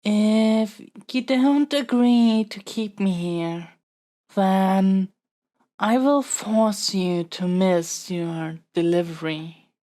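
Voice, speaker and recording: A woman's voice: mid-range voice, steady tone, drawn-out words, dark tone. A woman playing a pampered young royal heir in a scripted audio roleplay, earnest and formal, with a wordy, haughty yet flustered manner. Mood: reluctant threatening.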